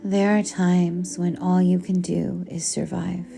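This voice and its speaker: Slow, low-pitched woman reading with creaky voice. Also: little pitch movement, dark tone. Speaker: book reader. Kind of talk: slow soothing audiobook-style reading. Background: background music.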